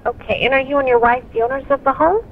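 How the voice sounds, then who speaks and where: A woman's voice: sits high, fast talker. A woman telemarketer on a sales call, questioning insistently with an exasperated manner over a phone line. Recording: tinny phone line, faint noise.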